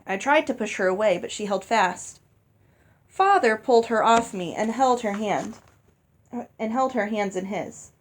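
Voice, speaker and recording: A woman reading a novel aloud as an expressive storybook narrator. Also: medium pitch, measured pace. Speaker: audiobook reader.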